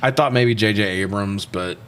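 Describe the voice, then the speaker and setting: A man's voice: on the low side, normal speed, fairly bright tone, slight background noise. A man co-hosting a casual movie review, talking loosely and swearing often. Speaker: podcast co-host reviewing films.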